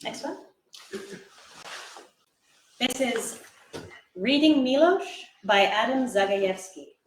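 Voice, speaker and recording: Woman, poetry reader. Fairly high voice, live event, echoey room. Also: lively intonation, slow, slight background noise. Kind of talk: poetry reading aloud.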